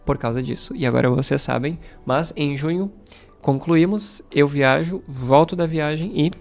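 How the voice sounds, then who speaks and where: A Brazilian man talks casually to camera like a teacher updating his online community. His voice sits at a middling pitch with a noticeable gravelly fry, and faint music plays behind him. He is conversational and stresses points by repeating them. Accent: Brazilian Portuguese. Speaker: Portuguese teacher and YouTube channel host.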